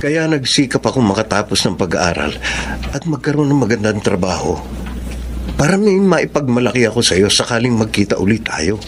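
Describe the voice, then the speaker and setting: A man's voice: on the low side, very animated, brisk. A man playing a shy, lovestruck young suitor with a Filipino accent, wistful and pleading in a radio drama. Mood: wistful, regretful. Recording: noisy vehicle-like ambience behind voice.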